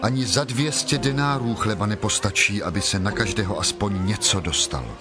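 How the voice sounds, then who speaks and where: An actor playing a worried, practical disciple in a dramatized scripture reading. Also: male voice, on the low side, music in the background, close to an everyday voice.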